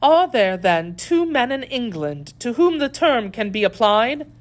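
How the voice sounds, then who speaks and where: A woman's voice: fairly high pitch, sing-song, a little slow, voice pushed up. A woman playing a captive noblewoman who implores with feigned pious despair in a dramatic audiobook reading. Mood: scornful. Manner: melodramatic, imploring.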